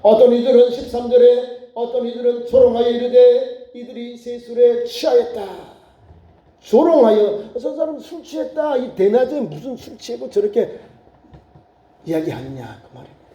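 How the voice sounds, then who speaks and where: A man preaching a sermon as pastor, acting out crowd voices in lively storytelling. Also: very high-pitched, normal speed, lo-fi sound. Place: church sanctuary. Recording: echoey church room.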